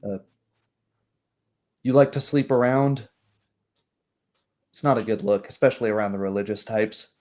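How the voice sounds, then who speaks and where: A man's voice: lowish voice, moderate pace, long pauses, darkish tone, lightly acted. A man voicing a kindly, gossipy female barkeep in a fantasy tabletop game, chatty and hesitant. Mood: confiding.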